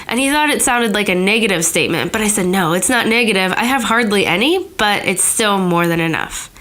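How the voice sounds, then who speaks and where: A woman's voice: medium pitch, expressive, a little fast, a bit bright. A young woman hosting a podcast alone, sounding slightly nasal from a cold, chatty and encouraging with small restarts. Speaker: podcast host. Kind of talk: casual podcast monologue.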